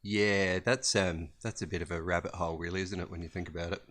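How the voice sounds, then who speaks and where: An Australian man co-hosting a podcast in relaxed, halting chat, full of ums and restarts. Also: low-pitched. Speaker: podcast co-host.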